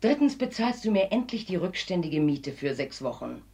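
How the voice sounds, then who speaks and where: A woman's voice: on the low side, sing-song, normal speed, dark tone. Firm, no-nonsense fortune-teller landlady. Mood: stern. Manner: firm, enumerating, threatening. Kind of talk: radio drama acting.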